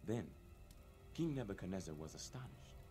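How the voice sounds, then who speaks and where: A man narrating a dramatized scripture reading in a measured, solemn manner. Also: sits low, very animated, warm tone, faint music behind.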